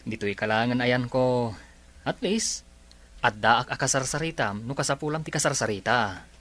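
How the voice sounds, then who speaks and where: A man's voice: mid-range voice, expressive, normal speed, fairly bright tone, natural voice. A man with an Ilocano Filipino accent playing a supportive, easygoing buddy in a radio drama. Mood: friendly, obliging.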